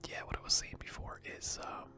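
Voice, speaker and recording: A person giving rambling, hesitant gaming commentary in a breathy, unvoiced ASMR whisper close to the microphone. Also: brisk, pushed and bright. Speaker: gaming video host.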